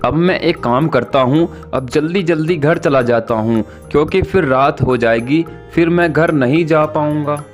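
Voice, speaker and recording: The kindly farmer bird of a children's cartoon played by a man, earnestly thanking his little sister over soft background music. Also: on the high side, normal speed, few pauses, natural voice. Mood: happy, thankful. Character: good-natured, grateful male bird, big brother farmer. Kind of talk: animated children's story dialogue.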